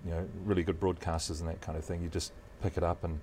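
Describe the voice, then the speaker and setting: A middle-aged man with a deep voice and a New Zealand accent, chatting as a guest in a relaxed, modest, measured way. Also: slight background noise. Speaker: guest, news presenter. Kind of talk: relaxed interview chat.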